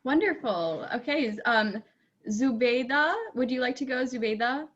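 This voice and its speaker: A woman's voice: fairly high pitch, a little slow, fairly bright tone, lo-fi sound. Encouraging woman moderating a call. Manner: friendly, encouraging, many ums. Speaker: breakout room moderator. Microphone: video call.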